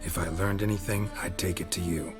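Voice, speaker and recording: An actor playing a gruff, stoic monster hunter in a video game, low and deadpan, speaking in clipped phrases. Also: male voice, brisk, music in the background, natural voice. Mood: dry, terse. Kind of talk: video game cutscene acting.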